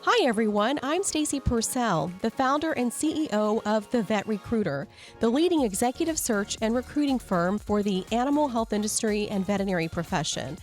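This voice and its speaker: A woman's voice: fairly high pitch, expressive, a little fast, faint music behind. Upbeat woman hosting a podcast. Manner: polished, upbeat delivery. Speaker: podcast host. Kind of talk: scripted intro read, then interview questions.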